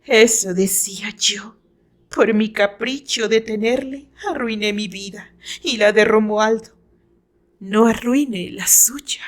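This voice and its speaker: A woman voicing a fragile, tormented, tearful woman, bitter and resigned, with halting, breathy theatrical delivery in an audio novel. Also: medium pitch, natural voice. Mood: resigned, pleading. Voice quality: thin, whispery voice at moments.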